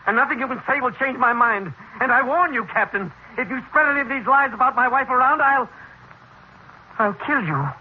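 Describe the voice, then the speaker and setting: An actor playing a stubborn, devoted husband in a theatrical 1950s radio style. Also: male voice, very high-pitched, fairly quick. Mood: indignant, defiant. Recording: dull, noisy vintage radio recording.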